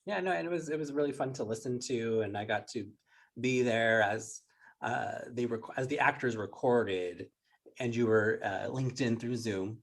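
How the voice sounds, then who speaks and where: A man's voice: mid-range voice, moderate pace, gravelly. A man hosting a casual interview, speaking in an informal, agreeable and encouraging way. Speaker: interviewer, workshop facilitator.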